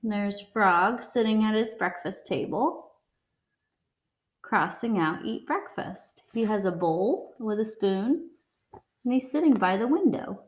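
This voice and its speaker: A woman reading a children's picture book aloud deliberately, pausing to explain what the pictures show. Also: mid-range voice, lively intonation, roomy sound. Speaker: storybook reader.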